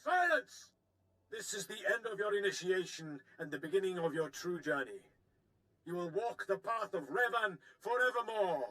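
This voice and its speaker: High-pitched imperious cult master. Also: male voice, big pitch swings, normal speed, sharp tone, heavily acted, rough recording. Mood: imperious, ceremonial. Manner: ceremonial, declamatory. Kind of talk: dramatic video game voice acting.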